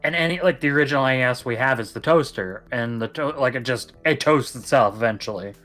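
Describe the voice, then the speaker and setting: A man's voice: medium pitch, fast, a bit bright, faint music behind. A young man co-hosting a casual podcast, rambling and emphatic as he talks at length. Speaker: podcast co-host. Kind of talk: casual chat.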